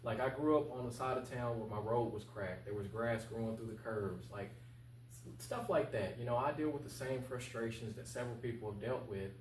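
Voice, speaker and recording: A man's voice: mid-range voice, a little fast. A man speaking informally as an interview guest and political candidate, earnest and plain-spoken. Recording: echoey, distant mic with faint noise.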